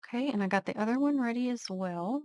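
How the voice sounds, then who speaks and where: A woman vlogger casually narrating her nail art step by step, thinking aloud in a relaxed, unhurried way. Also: fairly high pitch, no echo.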